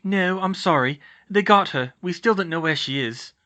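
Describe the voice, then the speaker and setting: A man's voice: high voice, normal speed, bright, forceful tone, highs cut off, pitched above the performer's own voice. A man voicing an earnest, anxious but determined young witch in a storybook, speaking with urgency. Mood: somber concern.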